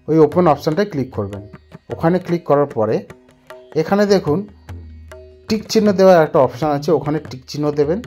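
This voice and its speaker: A man walks listeners through an app tutorial. His voice sits a little high for a man. He explains in instructional steps and often repeats himself, with light instrumental music of plucked strings and tabla underneath. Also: mellow. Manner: instructional, repeats points and phrases. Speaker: tech tutorial presenter. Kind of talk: step-by-step app tutorial narration. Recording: music bed behind the voice.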